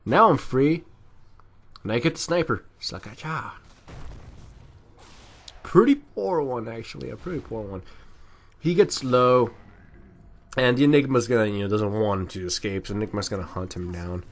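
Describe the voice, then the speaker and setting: A man talks over a video game replay as an amateur commentator. His delivery is casual and rambling, with a self-deprecating streak. The voice sits at an ordinary middle pitch for a man. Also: moderate pace, pauses often.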